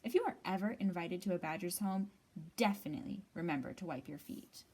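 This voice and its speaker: A woman hosting a library storytime, reading a picture book aloud expressively with deliberate, dramatic pauses. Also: on the low side, expressive, darkish tone, breathy edge.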